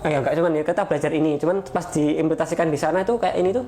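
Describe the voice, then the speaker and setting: Man, Indonesian accent, casual interviewer, warm mellow timbre, rephrases questions, noisy background. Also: fairly high pitch, racing. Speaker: podcast host. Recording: background noise.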